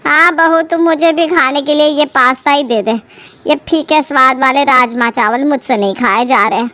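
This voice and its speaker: A woman's voice: sits high, moderate pace, sharp tone. A woman voicing a grumbling older in-law in a cartoon family story, plaintive about the household. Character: grumbling older parents-in-law fond of rich food. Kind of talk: animated family story dialogue.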